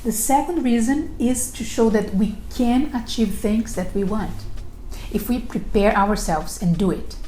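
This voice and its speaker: A middle-aged woman with a non-native English accent, presenting a prepared video introduction in careful, deliberate phrasing. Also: a little high, mellow. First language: not English. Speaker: recruiter presenting a video series. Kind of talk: prepared self-introduction to camera. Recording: roomy echo, faint background noise.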